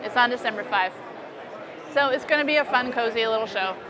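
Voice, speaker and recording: A woman's voice: on the high side, a little fast. Young American woman over busy crowd noise. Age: young adult. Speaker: artist interviewed at an expo booth. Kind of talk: casual, informal interview presentation. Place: indoor convention expo booth. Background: convention hall crowd chatter.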